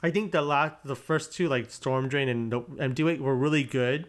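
A man's voice: mid-range voice, moderate pace. A man hosting a podcast, chatting loosely with lots of fillers and restarts. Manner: relaxed, rambling with many fillers. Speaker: podcast host. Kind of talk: casual chat.

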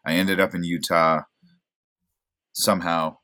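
Casting: low-voiced male actor, 30s, laid-back, self-deprecating guest. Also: a little slow. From Oregon, USA. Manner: relaxed, rambling, self-deprecating, many fillers. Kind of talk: casual interview chat.

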